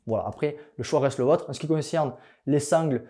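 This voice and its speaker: A man's voice: fairly high pitch, fairly quick, mellow. A young man, a fitness coach, explaining gear in a casual vlog, chatty and joking, with many fillers.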